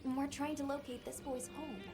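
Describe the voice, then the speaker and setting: A woman's voice: sits high, level tone, moderate pace. A woman playing a polite, earnest young female traveler in a video game cutscene. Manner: courteous, earnest. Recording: loud music behind. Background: music.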